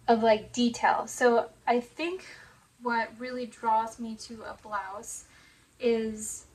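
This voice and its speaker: A woman with a hoarse voice, still recovering from laryngitis, rambles softly through a casual sewing vlog, padding her thoughts with "like" and "um". Her voice sits a little higher than usual and moves in a narrow, even band. She is recorded on a phone in her home sewing room and sounds somewhat far from it. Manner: soft-spoken, rambling, many "like" and "um" fillers. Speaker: sewing vlogger. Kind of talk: casual chat to camera. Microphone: phone camera.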